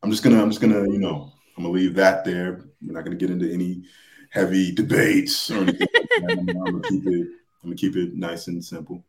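A man with a low voice chats as a guest on a podcast. He speaks haltingly, hedging and doubling back on his words. The recording sounds echoey and roomy. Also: steady tone. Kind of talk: casual chat.

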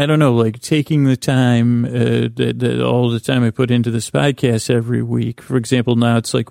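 A man with a creaky, middle-pitched voice murmurs a meandering bedtime monologue in soothing tones. His delivery stays very flat, with halting restarts and sentences that drift off. The sound is close and dry, as a podcast host speaking straight to the listener. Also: dry recording. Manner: halting, meandering, with stammered false starts and trailing thoughts.

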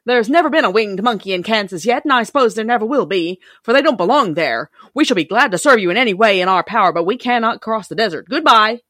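Woman putting on a winged monkey king, storybook, courteous, firm, formal, raised animated pitch, dry recording. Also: fast, few pauses, dry recording. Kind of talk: storybook character reading.

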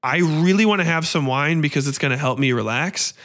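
A man's voice: fairly high pitch, a bit bright, close to an everyday voice. Man acting out a nervous, overly polite houseguest. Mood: nervous, courteous. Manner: careful, halting, over-explaining. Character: nervous, overly polite young male houseguest, easily startled.

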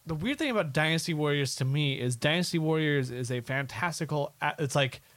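A man's voice: on the high side, expressive, a little fast, fairly bright tone, no echo. A man co-hosting a podcast in casual chat about films and games, questioning and debating points. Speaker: podcast co-host.

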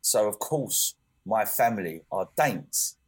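Young guy, low voice, easygoing podcast co-host, loose rambling delivery. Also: expressive, takes it slowly, slightly rough. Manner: rambling, many fillers and restarts. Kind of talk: casual chat.

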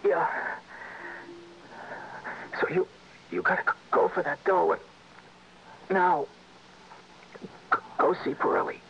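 A dying convict, gasping and halting, with a gravelly, cracking voice pitched a little high. He stammers desperately through a muffled old radio drama recording. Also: very animated, full character voice. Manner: halting, stammering, repeating words. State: out of breath, gasping and faltering. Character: dying male prisoner, a guilt-ridden safe robber, desperate. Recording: muffled vintage radio recording, faint hiss.

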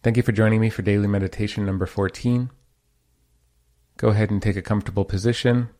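A man leading a guided meditation as host, giving gentle, measured instructions with pauses between phrases. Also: lowish voice, little pitch movement.

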